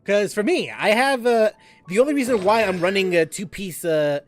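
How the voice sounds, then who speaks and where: Male game streamer, high and bouncy voice, chatty with chat, light music under him. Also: expressive, normal speed. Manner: thinks aloud while playing and answers chat questions. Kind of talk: live gameplay commentary.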